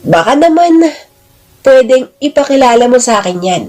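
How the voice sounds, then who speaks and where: A woman's voice: sits high, sing-song, normal speed, darkish tone, faint music behind. A woman voicing a flirtatious, chummy man who banters and gushes in an exclamatory, teasing way for an audio drama. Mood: teasing, admiring.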